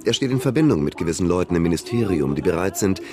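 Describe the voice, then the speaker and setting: An actor playing a self-assured intellectual conspirator in a radio drama, articulate and insistent. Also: male voice, low-pitched, expressive, fast, over music. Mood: urgent, assured. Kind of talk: radio crime drama acting.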